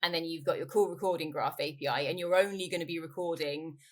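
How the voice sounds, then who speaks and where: A woman speaks as an expert guest in an interview. Her voice sits a little lower than usual. She explains in an informal, conversational manner, often restating her point. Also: steady tone, fast. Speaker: interviewee, company growth director.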